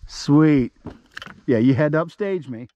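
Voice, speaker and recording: A man chatting casually and excitably with a friend while fishing, playful, salty-tongued and apt to talk to the fish. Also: a little high, measured pace, no room sound. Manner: salty, swearing. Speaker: angler filming his own fishing outing. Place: outdoors on a boat on a lake. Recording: muffled lo-fi camera audio with outdoor noise.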